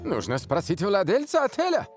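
A middle-aged cartoon sheikh, showy and scheming. The voice sits a little high and swings dramatically up and down with evasive grandeur, over faint music. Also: male voice, wildly swooping pitch, normal speed. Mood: slyly evasive. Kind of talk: animated cartoon dubbing.

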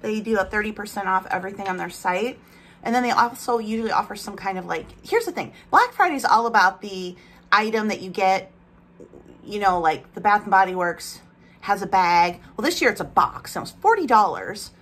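A woman's voice: mid-range voice, expressive, fairly quick, a bit bright. Chatty woman with a slight lisp. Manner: rambling, talks while handling products. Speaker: vlogger. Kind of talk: casual chatty vlog, unboxing. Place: bedroom at home, indoors.

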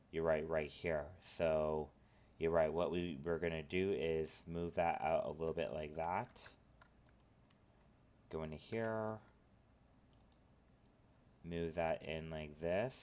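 Slow, low-voiced man with a cold. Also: steady tone, a little mellow, clean tone. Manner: rambling, filler-heavy instructions. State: has a cold, sniffling. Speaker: tutorial host. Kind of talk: screen-recorded software tutorial.

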